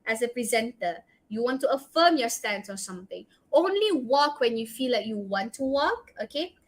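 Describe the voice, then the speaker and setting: A woman's voice: a little high, sing-song, moderate pace. A woman with a Malaysian accent giving a public speaking workshop talk, offering direct advice and tagging her sentences with "right?" and "okay?". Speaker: guest speaker.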